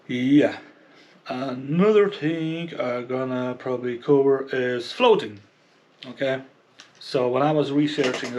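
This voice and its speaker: A man giving a casual, unscripted product review as a presenter, explaining haltingly and often checking in with a questioning okay. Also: mid-range voice. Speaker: fishing channel presenter reviewing gear.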